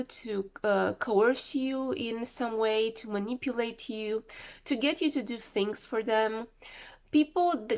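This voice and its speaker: A woman with the flu talking casually to camera, rambling and repeating words for emphasis. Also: on the high side. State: sick with flu, voice a bit off. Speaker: vlogger.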